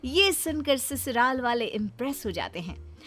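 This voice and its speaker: Bright-voiced woman narrating a story. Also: high-pitched, big pitch swings, moderate pace, soft background music.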